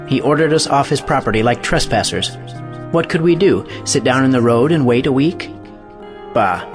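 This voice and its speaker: A man acting as a scornful, idealistic scientist in an audio play. He declaims indignantly in rhetorical bursts, mid-pitched, with faint music behind him. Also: natural voice.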